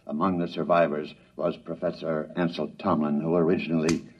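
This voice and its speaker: An actor playing a grave, measured newscaster delivering dramatic bulletins in an old-time radio drama. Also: male voice, rumbling low, level tone, a little hiss or hum. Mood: grave, urgent. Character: grave male radio newscaster reporting disaster. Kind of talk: 1950s-style radio news announcing in a drama. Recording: muffled, distant vintage radio, husky sound.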